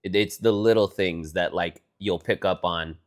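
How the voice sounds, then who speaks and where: A man's voice: sits low, steady tone, normal speed. A young man from Texas co-hosting a podcast, chatting casually and swearing freely as he retells conversations. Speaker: podcast co-host. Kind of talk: casual chat.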